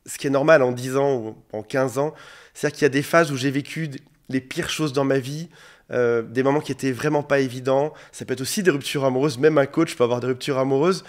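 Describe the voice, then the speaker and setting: A man in his forties with a head cold and a slightly hoarse voice, speaking as a relationship coach in an earnest, direct monologue to the camera. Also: medium pitch, steady tone, brisk. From France. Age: 40s. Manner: earnest, direct, addresses viewer informally. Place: indoor studio under bright lights. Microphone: facing a camera.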